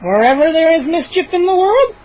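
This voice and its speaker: An audiobook reader voicing a small male mischief gnome who speaks slowly and solemnly with a rasp, on a muffled recording. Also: extremely high pitch, very animated, slight background noise, pitched above the performer's own voice. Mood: pleading. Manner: slow, solemn, rasping delivery. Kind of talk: audiobook character voice.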